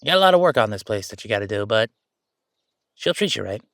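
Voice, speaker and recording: A man's voice: on the low side, very animated, fast, vocal fry, fairly bright tone, no room sound, clean studio sound, full character voice. A man playing a chatty, easygoing ghost who died at thirty-five, rambling casually with wry asides in an audio roleplay. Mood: easygoing, opinionated. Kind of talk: conversational audio roleplay monologue.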